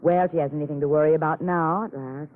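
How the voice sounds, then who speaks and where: A woman's voice: low-pitched, fairly even intonation, fast talker, narrow, tinny audio, natural voice. An actress playing a self-assured, protective woman lawyer in an old radio drama, clipped, controlling and dryly sardonic. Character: brisk, assured, protective female lawyer, worldly and dry. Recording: noisy, thin old radio recording.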